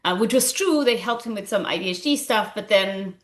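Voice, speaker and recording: A woman in her forties from Argentina, speaking with an Argentine Spanish accent, describing her path from medicine to founding a school in an animated, earnest way with many fillers. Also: mid-range voice, fairly quick. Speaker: guest, school founder and physician. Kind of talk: conversational interview.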